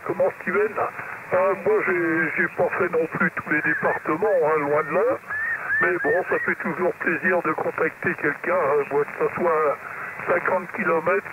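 Tinny radio reception buried in loud noise carries a man's high voice. He is making a casual amateur radio contact, heard as a received transmission. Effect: shortwave radio transmission. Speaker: radio operators on the receiving end.

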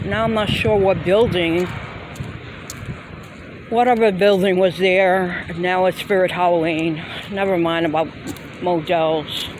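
A woman's voice: fairly low pitch, fairly even intonation, unhurried, background noise. A woman vlogging on a handheld phone as she walks from a parking lot into a Halloween store, rambling and hesitant with many fillers. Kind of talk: casual walk-through vlog. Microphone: handheld phone camera. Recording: loud music playing behind. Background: loud store music.

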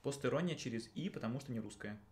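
A man in his thirties talks in a studio at a middling pitch. He thinks aloud in a casual, colloquial way, often questioning as he goes, like a teacher working through a task. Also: quick pace, noisy background. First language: Russian. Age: 30s. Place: small indoor studio.

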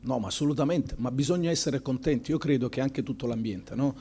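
A football coach in his forties speaks at a table microphone in a press room after a match. His voice is mellow and dark, and he answers in a measured, thoughtful way with frequent pauses and fillers. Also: medium pitch, slight background noise. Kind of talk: post-match press conference. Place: press room in front of a sponsor backdrop.

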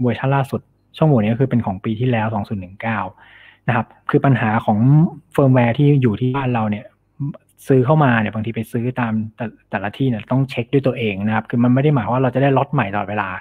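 A man's voice: medium pitch, husky. A man giving an informal technical presentation as a cybersecurity specialist, explaining things and mixing in English technical terms. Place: online meetup over slides. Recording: thin phone-like online meeting audio.

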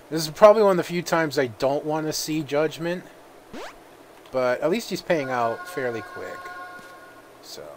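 A man who is feeling a little sick, giving relaxed let's-play commentary and thinking aloud with plenty of fillers. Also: medium pitch, slight background noise. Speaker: gaming video host.

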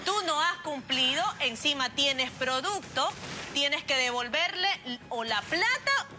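A woman's voice: high-pitched, lively intonation, a little slow. A woman, speaking firmly and with authority as she arbitrates a televised dispute. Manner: firm, authoritative, interrupting. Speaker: TV arbitration show judge, lawyer. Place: TV studio.

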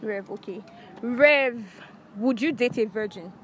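A woman's voice: fairly high pitch, a little slow. A young woman with a Nigerian accent asking casual street interview questions outdoors. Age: young adult. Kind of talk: casual vox pop questioning. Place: outdoors on a university campus. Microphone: handheld device shared with interviewees. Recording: faint background noise outdoors.

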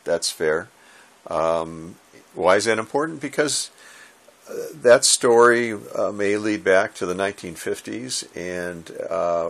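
Low, gravelly older American man. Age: 60s. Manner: halting, reflective, conversational. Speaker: ham radio hobbyist giving advice. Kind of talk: informal instructional talk to camera. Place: small indoor home radio room.

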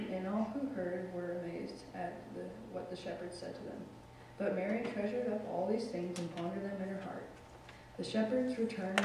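Male lay reader, steady measured delivery, distant church room sound. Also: high-pitched, background noise. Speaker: scripture reader. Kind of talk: Bible reading in church service. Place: church sanctuary. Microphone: lectern microphone, far camera. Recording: echoey church from far off.

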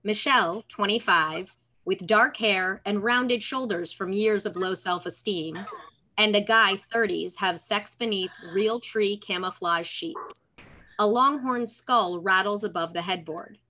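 Female narrator, bright and crisp-edged tone, mid pitch, reading script directions on a video call. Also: a little slow, a little hiss or hum. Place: room at home. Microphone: computer on video call.

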